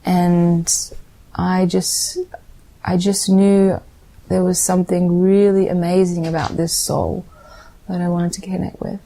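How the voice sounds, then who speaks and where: Slow, gravelly woman. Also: fairly low pitch, little pitch movement, mellow, rough recording. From Australia. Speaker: guest musician. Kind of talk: casual interview storytelling.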